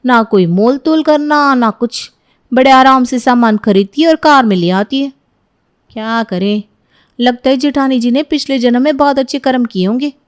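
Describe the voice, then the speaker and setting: A woman's voice: high-pitched, close to an everyday voice. A woman voicing an envious, nagging mother and daughter-in-law in a moral story, scolding and complaining.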